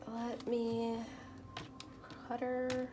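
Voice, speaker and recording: A woman whose first language is Spanish, doing a tarot reading at a table and murmuring softly as she shuffles and reads the cards aloud. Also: fairly high pitch, completely flat delivery, extremely slow, music in the background. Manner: soft-spoken, murmuring while shuffling, reading card text aloud. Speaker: tarot reader. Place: indoors at a table.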